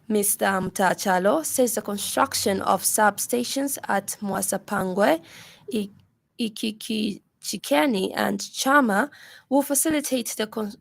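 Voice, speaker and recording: Woman, Zambian accent, formal news reader, medium pitch, scripted bulletin, close dry studio. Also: gravelly, no echo.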